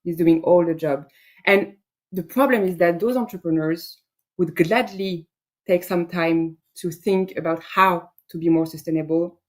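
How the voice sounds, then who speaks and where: Earnest woman with a lowish voice. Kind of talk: panel discussion.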